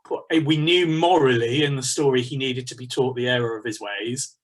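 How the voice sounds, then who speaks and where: Husky British man, informal workshop talk. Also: medium pitch, fairly even intonation, a little fast. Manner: chatty, discursive, thinking aloud. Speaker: pantomime writer leading a workshop. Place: small home study, indoors. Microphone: computer mic on a video call.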